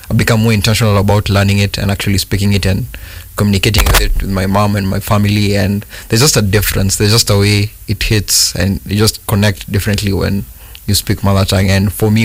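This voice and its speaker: A man from Kenya answers as a filmmaker guest, measured and unhurried. His low voice barely rises or falls, and it sounds dry and close. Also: dry recording. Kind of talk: casual interview answers.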